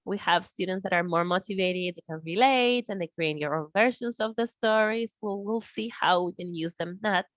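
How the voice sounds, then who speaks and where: A woman's voice: lowish voice, no room sound. A woman from Argentina presenting an English teaching workshop in an online meeting, hesitant and full of fillers. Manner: slow, hesitant, with fillers and laughs. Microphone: video call.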